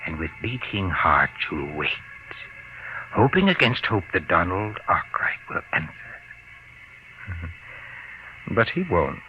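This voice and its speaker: An actor playing a smug, possessive, murderous husband in a 1940s radio thriller, suave, condescending and theatrical. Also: male voice, deep voice, very animated, slow pace, long pauses, full character voice. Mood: gloating. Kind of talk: 1940s radio suspense acting. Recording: noisy, muffled old radio recording.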